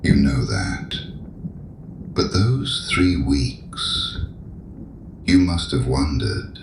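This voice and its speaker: A man's voice: animated, reverberant, background noise, heavily acted. An actor playing a courteous, uncanny male penitent who probes a priest's old sins in an audio drama. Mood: taunting. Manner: slow, silky, mocking, very deep. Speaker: mysterious penitent.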